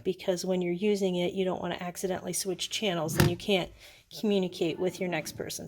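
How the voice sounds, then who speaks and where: A woman giving a casual, unscripted product demonstration, explaining things step by step in a conversational way. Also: medium pitch. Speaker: product reviewer. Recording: clearly noisy recording with clicks.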